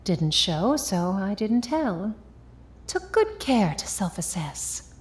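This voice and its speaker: An actress playing an ambitious, hard-boiled young woman of the 1950s in a vintage audio drama, speaking deliberately and defiantly. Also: mid-range voice, sing-song. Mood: hushed, grave.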